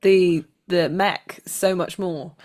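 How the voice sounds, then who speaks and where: A woman's voice: fairly low pitch, steady tone, takes it slowly, a loud crowd. A woman speaking casually as an actor being interviewed, reflective and self-deprecating.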